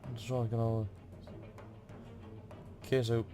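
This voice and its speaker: Slow, dark-voiced hesitant gamer guy. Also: mid-range voice, flat delivery. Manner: hesitant, thinking aloud, swears freely. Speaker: gaming video maker playing through a quest. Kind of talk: casual game commentary. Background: game music.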